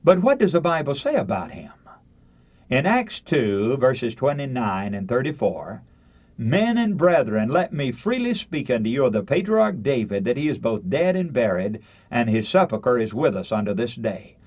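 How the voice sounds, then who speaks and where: An older man delivers a radio sermon in a mid-pitched voice with very lively, swooping intonation. He speaks emphatically, building points through repetition and rhetorical questions, and reads scripture aloud. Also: normal speed. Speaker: radio preacher.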